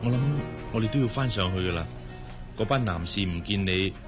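Actor as a middle-aged charmer, Hong Kong Cantonese, radio drama, attentive, teasing, lowish voice, music underneath. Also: male voice, fairly quick, natural voice. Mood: tender, caring. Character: middle-aged married man, attentive, smooth-talking suitor. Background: background music.

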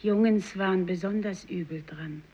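Eine Schauspielerin spielt im literarischen Hörspiel eine verbitterte ältere Fischersfrau, die ihr Kind verloren hat. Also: female voice, medium pitch, normal speed, warm tone, slightly rough, natural voice. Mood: probing. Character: embittered, older fisherwoman who lost her child. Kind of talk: 1950s literary radio play acting. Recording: dull, lo-fi radio recording.

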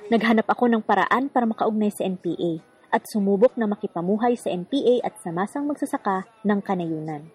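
A woman's voice: medium pitch, expressive, a little fast. A woman hosting a radio drama, reading scripted narration and listeners' letters. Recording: faint music behind the voice. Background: soft background music.

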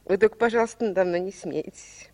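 An actress playing a loving, lonely old mother who speaks tenderly and haltingly in a 1960s Soviet radio drama. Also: mid-range voice, darkish tone, close to an everyday voice. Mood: tender, shy. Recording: long reverb, as from a record.

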